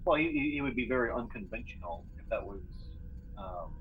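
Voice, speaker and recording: A man playing a tabletop game, chatting casually and thinking aloud in a halting way. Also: mid-range voice, expressive. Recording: tinny phone-like line, background noise.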